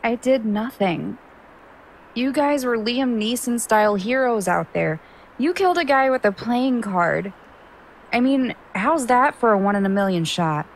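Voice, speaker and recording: A woman's voice: on the high side, animated, a little slow, slight background noise. A woman playing a wry, chatty, self-doubting young stage magician in an audio drama. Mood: self-reproachful. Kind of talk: casual audio drama acting.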